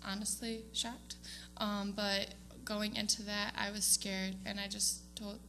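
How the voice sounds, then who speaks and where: A woman's voice: medium pitch, flat delivery, takes it slowly, pushed and bright, a little hiss or hum. A young woman giving a casual, hesitant speech to her church congregation, with frequent ums and small laughs. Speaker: student giving award speech. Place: church sanctuary.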